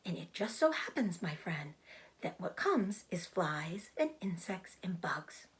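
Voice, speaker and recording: A woman voicing a proud, clever female spider in a storybook, with a thin, breathy voice and a genteel, self-assured, explanatory manner. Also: lowish voice, sing-song, measured pace, full character voice. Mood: matter-of-fact, defensive. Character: clever, proud, matter-of-fact female spider, bloodthirsty trapper.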